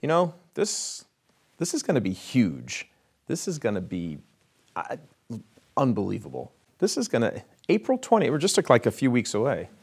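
A man chatting casually and excitedly during a sound check in a small studio, rambling with restarts, heard from a distance. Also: mid-range voice, moderate pace, slightly rough. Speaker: show presenter doing a sound check. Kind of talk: casual off-air chat.

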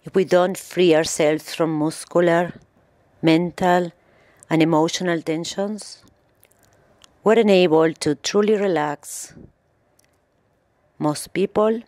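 A woman yoga instructor narrating a guided meditation in measured, instructional phrases with pauses. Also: deep voice, darkish tone, dry recording.

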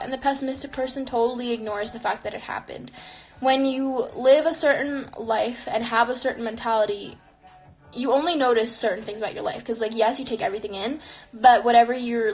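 A woman's voice: on the high side, rough recording. Chatty young woman live-streaming from her bedroom. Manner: chatty, frequent "like" fillers, reflective. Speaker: streamer answering viewer questions. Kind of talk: casual live-stream chat. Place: small bedroom with neon lights, indoors. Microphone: phone camera close to face.

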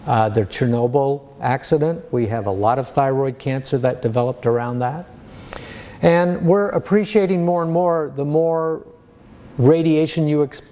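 An American man in his sixties, a surgeon explaining medicine to a lay audience in a measured, plain-spoken way. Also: medium pitch, dark tone. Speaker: surgeon giving a public lecture. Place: medium-sized lecture room.

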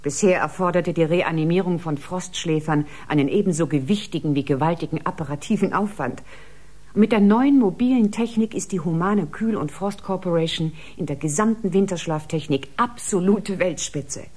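Actress as composed female doctor, low voice, formal, factual, science-fiction radio play. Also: normal speed, a little mellow, lightly acted. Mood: proud, factual. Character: composed female doctor proudly explaining cryosleep technology. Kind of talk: German audio drama acting.

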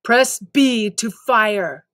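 Storybook drill sergeant, played by a woman: stern, very deliberate, emphatic orders. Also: fairly high pitch, fairly bright tone, no room sound, studio quality. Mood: commanding. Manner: clipped, emphatic, deliberate commands. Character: stern, single-minded male drill sergeant, robotically repeating game instructions.

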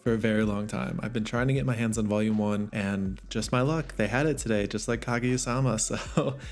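A man's voice: fairly low pitch, a little fast. A man narrating a casual vlog about the books he picked up, chatty and enthusiastic, with a voice that is a little worn. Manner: chatty, enthusiastic, laughs softly. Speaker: manga vlogger.

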